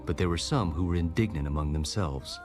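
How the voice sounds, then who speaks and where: A man narrating a dramatized audio Bible as a solemn storyteller, formal and measured. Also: deep voice, lightly acted. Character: solemn male scripture narrator. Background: clear background music.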